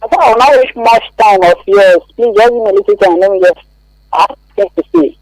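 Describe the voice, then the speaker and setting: A man with a Nigerian accent calling a radio show to give his opinion in a halting, repetitive, rambling way. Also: high-pitched, darkish tone, low-quality recording. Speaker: radio phone-in caller. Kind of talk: unscripted opinion-giving.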